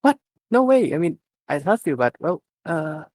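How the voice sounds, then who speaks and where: A man voicing a bashful, excitable young woman adventurer in a story, eager and easily flustered. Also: fairly high pitch, normal speed, voice pushed up.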